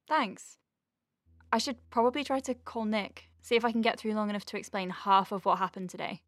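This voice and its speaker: An earnest doctor at a space clinic , acted by a woman talks in a medium-pitched, natural voice. She is gently wry and self-deprecating, with slight hesitations. The delivery is intimate, conversational audio drama. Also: fairly quick, no echo, studio quality, close to an everyday voice. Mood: shy, eager.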